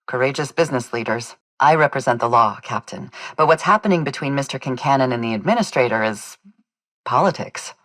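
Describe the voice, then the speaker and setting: A woman's voice: extremely low pitch, no echo, studio quality. A woman playing a weary, dutiful constable in a video game, speaking with formal, deferential courtesy. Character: weary, dutiful female colonial constable, polite and anxious. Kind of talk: video game dialogue acting.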